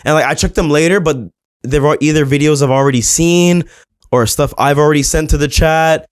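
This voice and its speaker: A man's voice: on the high side, steady tone, brisk, smooth, no room sound, clean studio sound. A man co-hosting a podcast, telling stories in casual chat, swearing freely and stumbling over words. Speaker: podcast co-host.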